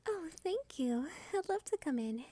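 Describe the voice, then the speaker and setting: A cute female vampire, played by a woman for an ASMR roleplay, speaks in a high, mellow voice at an unhurried pace. She is soft-spoken and courteous, with a coy, teasing edge and a quietly possessive, dominant temper. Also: very animated, no echo. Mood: soft, admiring.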